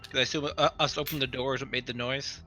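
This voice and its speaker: A man's voice: mid-range voice, steady tone, rapid-fire, sharp tone, faint music behind. A man playing a tabletop roleplaying game, talking casually as he plans his character's moves.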